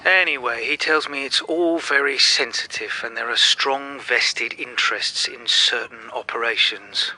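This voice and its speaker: Thin, bright-voiced terse police inspector. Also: male voice, mid-range voice, unhurried, hardly any pauses, poor audio. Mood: dry, deadpan. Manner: terse, questioning, deadpan. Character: sober, dry male chief inspector on a case. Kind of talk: radio drama acting.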